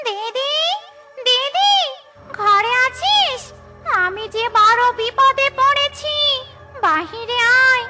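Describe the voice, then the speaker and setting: A woman voicing a cartoon mother bird who mimics a pleading witch with drawn-out calls. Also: extremely high pitch, lively intonation, sharp tone, soft background music, exaggerated voice. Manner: drawn-out calling. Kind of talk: children's cartoon dubbing.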